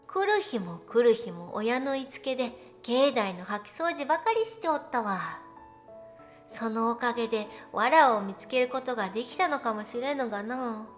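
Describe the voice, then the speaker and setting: A woman's voice: high-pitched, a bit bright. A woman voicing a proud, thousand-year-old cat goddess who tells old tales in lordly, archaic speech, grumbling but fond. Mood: musing, nostalgic. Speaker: virtual streamer in character. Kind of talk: dramatic first-person storytelling monologue. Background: music.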